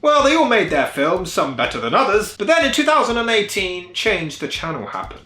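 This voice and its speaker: A man's voice: sits high, wildly swooping pitch, brisk, sharp tone, echoey room, soft background music. A man delivering a scripted video review as host, in a sarcastic, snide and blunt manner.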